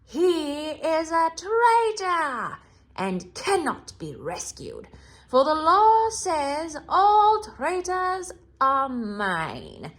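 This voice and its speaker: A woman voicing a tyrannical evil witch queen in a children's storybook, screeching and imperious, with drawn-out menace. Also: high-pitched, wildly swooping pitch, a bit bright, pitched above the performer's own voice, heavily acted. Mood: furious, demanding. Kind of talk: theatrical children's storybook read-aloud.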